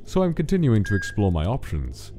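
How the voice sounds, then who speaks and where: An actor playing a cold, weary male monster scientist in a dramatic comic dub, speaking in a measured, dismissive way. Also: on the low side, wildly swooping pitch, background noise. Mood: clinical, detached. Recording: music behind the voice.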